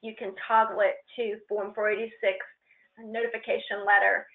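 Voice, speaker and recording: A woman presenting on a training call, walking informally through a procedure step by step in a halting, hesitant way. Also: mid-range voice, flat delivery. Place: conference call with shared screen. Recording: roomy, muffled conference-call line.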